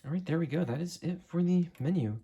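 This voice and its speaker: Young man, soft dark husky voice. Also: fairly high pitch, fast. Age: late teens, young adult. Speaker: hobbyist reviewer. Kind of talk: casual unscripted product demo. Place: indoor room at a desk.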